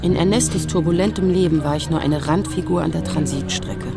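A woman's voice: deep voice, a little fast, creaky, over loud music. An actress playing a disillusioned, self-mocking writer in her forties, narrating in the first person with dry, reflective irony. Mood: sober, ironic. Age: 40s.

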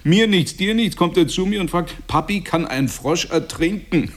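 A man's voice: high-pitched, moderate pace, natural voice. An actor playing a self-satisfied, patronizing husband and proud father in a German radio play, preachy and emphatic. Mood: proud, excited. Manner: emphatic, preachy, swinging between gushing and confiding.

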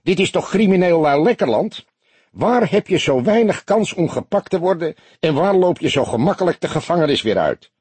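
Man voicing a high-pitched, chirpy little barkeeper. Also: expressive, moderate pace, darkish tone, breathy edge, no room sound. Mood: jolly, exclaiming. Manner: chirping, exuberant, indignant-prone. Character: small, slender, slick, jovial Amsterdam bar owner. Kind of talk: audiobook character voice.

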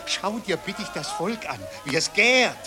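A man's voice: sits high, lively intonation, measured pace, over music. An actor with a Viennese accent playing a suspicious, sarcastic Viennese man in a street crowd. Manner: sneering, insinuating. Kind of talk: 1960s radio drama acting.

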